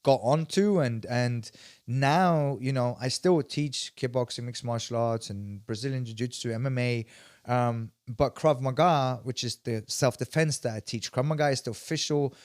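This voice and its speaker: Man, 40s, from Sweden, emphatic podcast guest, mid-pitched voice, dry close mic. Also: a little mellow, no room sound. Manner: emphatic, talks in quick bursts with restarts. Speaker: martial arts instructor, podcast guest. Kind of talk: casual interview storytelling.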